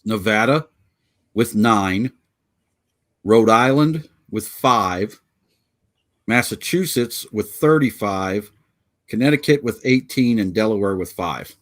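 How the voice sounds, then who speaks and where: A man's voice: fairly low pitch, animated, slow, pauses often. A man from Pennsylvania co-hosting a casual podcast chat, rambling and joking as he fumbles through his notes on a video call. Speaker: podcast co-host.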